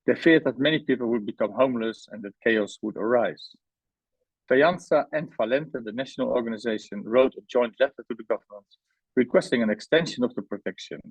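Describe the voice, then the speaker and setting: A man's voice: medium pitch, a little fast, slightly rough, no echo. A man reads a formal prepared presentation on a webinar, stumbling over the odd word. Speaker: housing organisation representative presenting at a webinar. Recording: dull, band-limited webinar audio.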